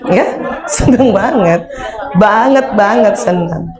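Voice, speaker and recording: A woman in her fifties giving a lively, humorous parenting seminar, chatting with her audience and quizzing them with questions. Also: mid-range voice, slow pace, a crowd in the background. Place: seminar hall before an audience.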